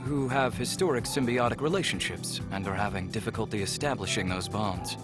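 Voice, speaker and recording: An actor playing a polite, earnest, travel-weary alien scientist in a visual novel, speaking in a measured, explanatory way. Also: male voice, fairly low pitch, over music, natural voice. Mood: earnest, informative. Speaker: game character.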